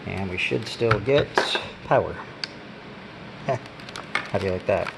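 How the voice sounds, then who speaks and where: Gravelly low-voiced man, casual gadget demo. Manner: unscripted, halting, pausing while handling items. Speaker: product reviewer. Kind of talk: casual hands-on gadget demonstration. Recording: steady background hum and noise.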